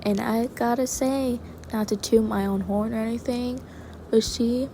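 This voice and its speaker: A woman giving casual, rambling commentary while she draws, soft and quiet, self-deprecating and prone to hesitant restarts. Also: on the high side, steady tone, measured pace, slight background noise, low-quality recording. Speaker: digital artist and channel creator. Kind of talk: casual commentary over a speedpaint.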